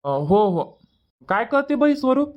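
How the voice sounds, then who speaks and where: A man's voice: extremely high pitch, very animated, unhurried, lo-fi sound, exaggerated voice. A man voicing an easygoing young village son in a cartoon comedy, chatty and colloquial, with a rural Vidarbha Marathi accent. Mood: casual, reassuring.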